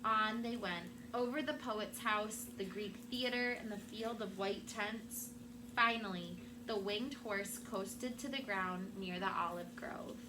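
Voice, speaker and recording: A woman's voice: mid-range voice, slow. Woman teacher reading aloud over room noise. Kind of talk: expressive storybook read-aloud to young children. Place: classroom. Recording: noisy room with background ambience.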